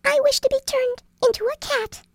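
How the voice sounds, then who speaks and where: A man voicing a timid, humble little mouse in a storybook, with a squeaky voice and a meek, pleading manner. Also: fairly even intonation, normal speed, creaky, no room sound, studio quality. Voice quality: tiny squeaky voice. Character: timid little male mouse, frightened, humble and self-pitying. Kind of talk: storybook character voice.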